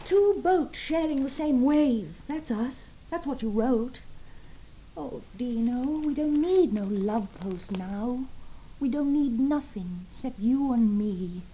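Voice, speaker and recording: An actress playing a romantic, wilful young bride-to-be in a period radio drama, gushing and imperious. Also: female voice, a little high, animated, takes it slowly, dark tone, a little hiss or hum, poor audio. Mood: plaintive. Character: romantic, wilful, theatrical fiancée, then neglected new bride.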